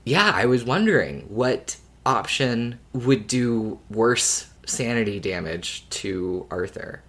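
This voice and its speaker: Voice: mid-range voice, very animated, takes it slowly, a bit bright. Podcast co-host, casual fan discussion, playful, wry, teasing delivery.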